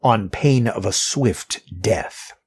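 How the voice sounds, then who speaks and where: Man acting a deep, very husky, formal mortician. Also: darkish tone, full character voice. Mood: guarded. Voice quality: husky, rough. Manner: formal, measured phrasing. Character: guarded, courteous middle-aged male mortician, formal and stern. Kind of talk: audio drama acting.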